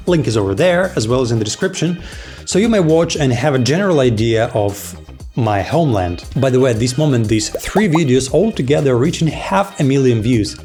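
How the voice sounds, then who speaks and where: A man's voice: mid-range voice, animated, a little fast, a little mellow, faint music behind. Playful young man vlogging. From Belarus. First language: Belarusian. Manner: playful, mock-astonished outbursts. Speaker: video creator. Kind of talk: casual vlog talk to camera.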